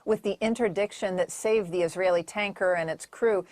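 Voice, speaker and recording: A woman TV news correspondent delivering a measured report from a news clip. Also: mid-range voice, darkish tone, no room sound.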